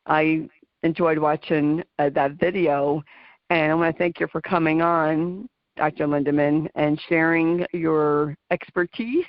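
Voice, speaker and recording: A woman's voice: sits low, fairly even intonation, measured pace, no room sound. A woman from New Jersey with a New Jersey accent hosting a radio talk show, effusive and chatty, with many fillers. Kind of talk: casual interview chat. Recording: muffled dry radio audio.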